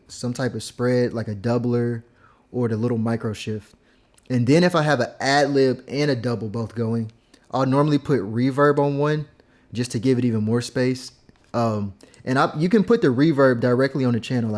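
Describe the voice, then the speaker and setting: A young man casually explaining how he mixes his vocals, rambling and filling pauses with "like" and "um". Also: mid-range voice, darkish tone. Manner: laid-back, rambling, heavy use of "like" and "um". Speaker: music producer teaching vocal mixing. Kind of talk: casual screen-recorded tutorial.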